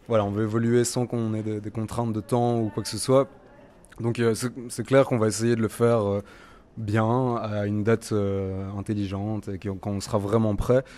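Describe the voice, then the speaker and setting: A man's voice: mid-range voice, a little mellow. A young man in his twenties from Belgium, a musician answering festival interview questions with many hesitations and restarts. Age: 20s.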